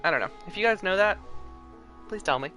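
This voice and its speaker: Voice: mid-range voice, expressive, brisk, pushed and bright, faint music behind. A game streamer giving casual live commentary, chatting and musing aloud.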